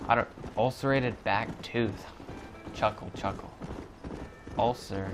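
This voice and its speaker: A man's voice: fairly low pitch, slow, music in the background. A man giving casual, rambling commentary while he plays a video game. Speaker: gamer recording a playthrough.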